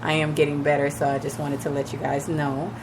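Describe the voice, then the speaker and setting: A woman radio host with a raspy, slightly shaky voice from recently losing it, chatting casually and advising listeners directly. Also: sits low, level tone, a little fast, noisy background. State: recovering from lost voice, a little shaky. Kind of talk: casual radio talk on real estate. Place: radio studio.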